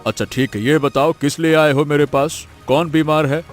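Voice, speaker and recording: A man's voice: on the high side, steady tone, normal speed, soft background music, close to an everyday voice. A man voicing a callous, greedy village healer in a Hindi cartoon story, drawling and hemming with a dismissive sneer. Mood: brusque.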